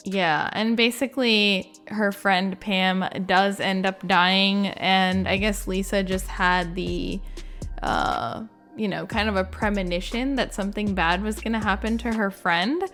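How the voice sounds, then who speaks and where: A woman's voice: medium pitch, fairly even intonation, a little slow, a bit bright, faint music behind. A woman giving informal, rambling reaction commentary, sprinkling in "like" as she goes. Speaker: video creator and game lore reviewer.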